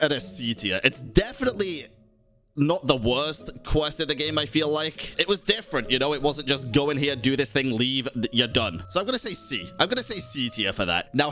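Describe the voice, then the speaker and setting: A man's voice: fairly high pitch, bright, forceful tone. A man streaming casual commentary to his chat, talking emphatically, repeating himself and swearing freely. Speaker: streamer and YouTube host.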